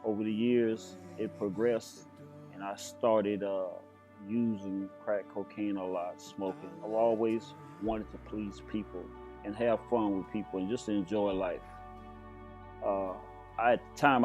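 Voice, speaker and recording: A man with a fairly low voice tells his own story as a testimony. He speaks reflectively, in halting phrases, with faint music behind him. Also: fairly even intonation, a little mellow. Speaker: church member giving testimony. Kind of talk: personal testimony.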